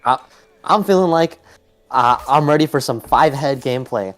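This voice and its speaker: A man's voice: mid-range voice, moderate pace, fairly bright tone, soft background music. Chatty young co-caster man. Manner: loose, chatty, many "like" and "uh" fillers. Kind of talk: casual game analysis.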